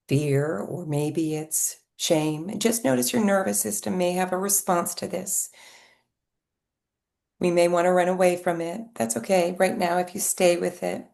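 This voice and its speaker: Woman hypnotherapist with a low, warm voice, unhurried and calming, leaving gentle pauses. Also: gravelly. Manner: slow, soft and soothing, with gentle pauses. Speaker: hypnotherapist guiding a session. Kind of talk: guided hypnosis session.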